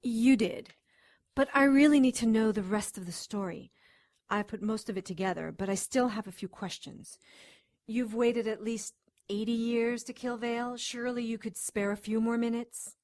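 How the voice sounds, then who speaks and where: A woman's voice: on the high side, moderate pace, darkish tone, lightly acted. An actress playing a wry, persistent woman companion in an audio drama, with a sardonic, pressing manner. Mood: insistent.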